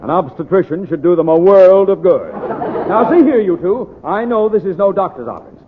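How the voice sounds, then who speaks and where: A middle-aged school principal in a golden-age radio comedy, pompous and quick to outrage. His fairly high voice blusters its way through a narrow, hissy old broadcast. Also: animated. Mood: suspicious, indignant. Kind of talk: 1940s-50s radio sitcom acting.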